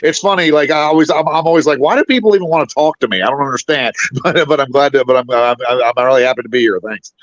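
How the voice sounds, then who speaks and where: A man from West Virginia with a booming voice, chatting casually as a guest and often stammering and repeating his words. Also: fast talker, few pauses, dry recording. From West Virginia, United States. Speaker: guest, indie filmmaker. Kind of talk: casual chat. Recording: dull lo-fi stream audio.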